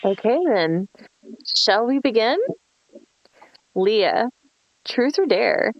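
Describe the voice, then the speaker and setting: A woman performs a brash young woman in a horror table read, high-pitched and very animated. She draws her words out tauntingly and swears freely. The audio is dull and lo-fi. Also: very slow, no room sound, exaggerated voice. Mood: teasing.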